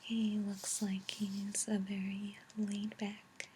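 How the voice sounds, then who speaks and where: A woman speaking softly in a breathy near-whisper, gently and unhurriedly, as an ASMR host reading and chatting. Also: mid-range voice, monotone, takes it slowly, a little hiss or hum, low-quality recording. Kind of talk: soft-spoken ASMR reading and chat. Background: page turning, tapping, clicks.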